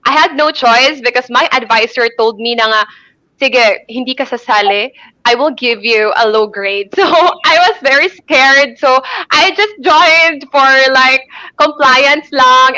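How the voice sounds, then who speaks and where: A woman's voice: on the high side, bright, forceful tone, no echo, muffled recording. A woman in her twenties with a Filipino accent, a pageant candidate answering casually and cheerfully over a video call, a bit hesitant. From Philippines. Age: 20s. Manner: cheerful, laughing, slightly hesitant.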